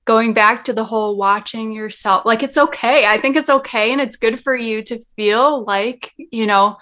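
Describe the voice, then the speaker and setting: A woman's voice: a little high, normal speed, sharp tone. A woman guest in casual conversation, talking earnestly and rambling with lots of fillers. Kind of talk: casual chat.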